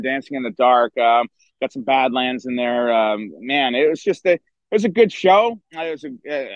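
A middle-aged man, a baseball writer guesting on a podcast, chatting enthusiastically outdoors with a hoarse voice and many restarts. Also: a little high, fairly bright tone, no room sound, highs cut off. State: hoarse from screaming at a concert. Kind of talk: casual podcast chat. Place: outdoors by a river.

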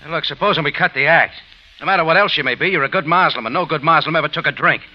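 An actor playing a tough, sardonic American cafe owner in a hard-boiled 1950s radio drama. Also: male voice, a little high, racing, natural voice. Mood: sardonic, guarded. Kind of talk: 1950s radio acting and narration. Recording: muffled old radio recording, loud music.

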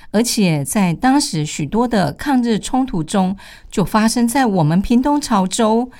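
A woman's voice: mid-range voice, darkish tone. An older woman with a Taiwanese Mandarin accent presenting a radio program in a prepared, conversational style.

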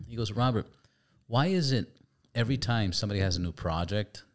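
A man hosting a podcast, chatting casually and telling stories with loose, swearing animation. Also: sits low. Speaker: podcast host. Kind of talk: casual chat.

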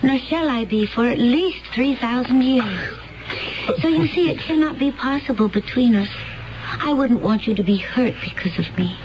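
A woman's voice: on the high side, animated, moderate pace, lightly acted. An actress in a radio mystery drama playing a serene, mysterious woman from a far future world, speaking in solemn, cryptic, formal phrases. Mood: serene, earnest. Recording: muffled, noisy old radio broadcast.

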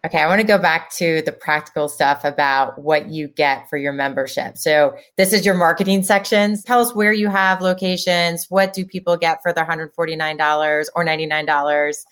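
A woman's voice: low-pitched, fairly even intonation, normal speed, hardly any pauses, a bit bright. A female co-host asking direct, practical questions in an interview.

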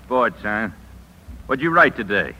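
Sharp-edged, menacing small-time hoodlum. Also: male voice, medium pitch, very animated, measured pace, highs cut off, heavily acted. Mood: menacing, suspicious. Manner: terse, threatening. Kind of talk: 1950s radio acting. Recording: noisy 1950s radio broadcast.

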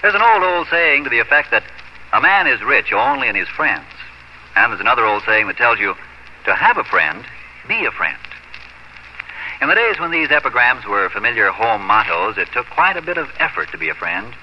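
American male announcer for 1950s radio sponsor spot, animated pitch, muffled old broadcast sound. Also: normal speed. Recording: dull vintage radio recording, faint noise.

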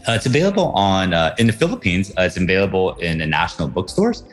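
A man's voice: low-pitched, big pitch swings, fast talker, faint music behind. A man from Taiwan and the US answering interview questions conversationally, with frequent fillers. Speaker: guest author.

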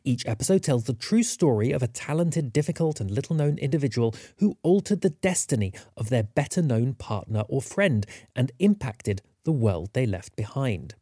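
A man delivers a scripted podcast promo in a mellow, slightly husky voice. His intonation is lively, and the sound is dry and close. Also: medium pitch, moderate pace, hardly any pauses, dry recording.

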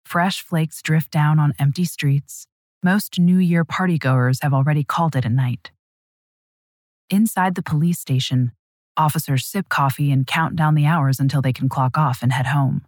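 A woman's voice: deep voice, moderate pace, darkish tone, no room sound, clean studio sound. A woman hosting a true crime podcast, reading a scripted, dramatic story.

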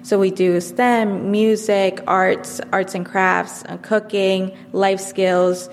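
A woman in her thirties, an after-school program coordinator, answering interview questions in a measured way with frequent fillers. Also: medium pitch, level tone. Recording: long hall reverb, faint noise.

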